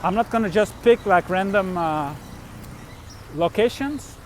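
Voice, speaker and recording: A middle-aged man from Turkey and Switzerland tells personal travel stories casually, in a halting, rambling way with trailing thoughts. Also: high-pitched, darkish tone, a little hiss or hum. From born in Turkey, grew up in Switzerland. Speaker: vlogger, musician and traveler. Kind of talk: casual unscripted personal storytelling.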